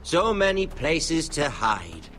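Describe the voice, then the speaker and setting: A man's voice: fairly high pitch, big pitch swings, slow pace, a bit bright, slight background noise, full character voice. An actor playing a sadistic young nobleman villain in a video game drama, drawling with mock friendliness and theatrical menace. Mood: mock-friendly menace. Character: sadistic, mocking young nobleman villain who toys with victims.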